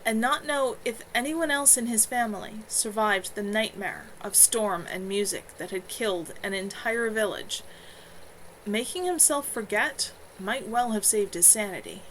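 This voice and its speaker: A woman's voice: mid-range voice, measured pace, a bit bright. A woman reading a novel aloud as narration, an amateur author on a livestream from home. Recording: noisy home livestream audio.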